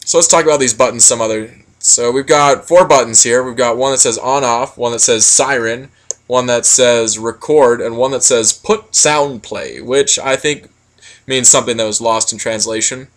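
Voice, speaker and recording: A man's voice: medium pitch, moderate pace. A man giving a casual product critique, explaining things in a rambling, matter-of-fact way. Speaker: product reviewer.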